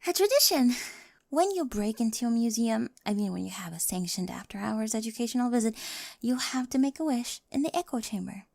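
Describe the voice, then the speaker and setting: An awkward, lovestruck high school oddball acted by a woman for an ASMR roleplay. The voice sits fairly high with a crackly fry. She rambles eagerly and slips into whispery asides, very close and dry on the mic. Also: expressive, fairly quick, a little mellow, no room sound. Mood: soft, sincere. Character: quirky, nerdy, awkward high school girl with a crush. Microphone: close microphone, dry.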